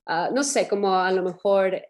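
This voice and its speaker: A woman's voice: medium pitch, normal speed. Chatty woman podcast co-host. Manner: animated storytelling, laughs often. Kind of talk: casual chat.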